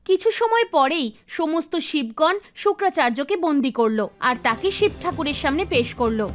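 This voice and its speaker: Female narrator for animated mythology tales; high-pitched, scripted storytelling delivery with clear background music.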